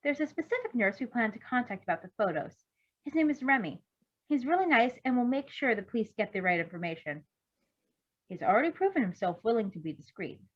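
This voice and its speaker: A woman's voice: a little high, sing-song, a little fast, slightly rough. A woman reading the part of a dry, exasperated superhero man who speaks precisely and formally in a story. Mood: dry, reassuring. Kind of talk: amateur fan fiction character reading. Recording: dull lo-fi recording.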